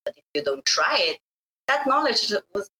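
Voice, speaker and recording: A middle-aged woman from Slovenia, whose first language is Bosnian, telling her story in an animated interview and laughing often. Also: medium pitch, normal speed. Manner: animated, laughing, acts out quoted voices. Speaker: guest. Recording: echoey room.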